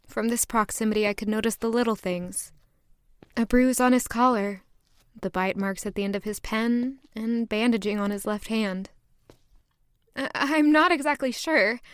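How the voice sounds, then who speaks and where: A woman's voice: mid-range voice, clean tone, no room sound, studio quality, natural voice. A woman playing a flustered, demure young maid given to romantic daydreams in an audio drama. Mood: flustered, polite. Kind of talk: audio drama acting with first-person narration.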